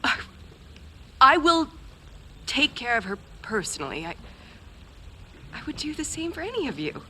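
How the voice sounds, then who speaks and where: A woman's voice: high-pitched, sing-song, normal speed, sharp tone, slight background noise, exaggerated voice. A woman acting an earnest, compassionate and troubled visionary in a video game cutscene, hesitant and pleading.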